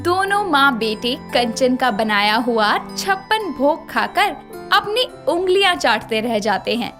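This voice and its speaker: Woman reading a story, high voice with lots of melody, soft music behind. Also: very animated, measured pace. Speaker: narrator. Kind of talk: scripted story narration. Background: light music.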